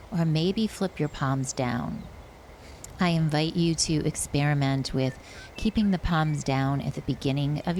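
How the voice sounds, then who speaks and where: A woman leading a guided yoga nidra meditation, speaking gently and soothingly with long pauses. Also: rumbling low, slight background noise.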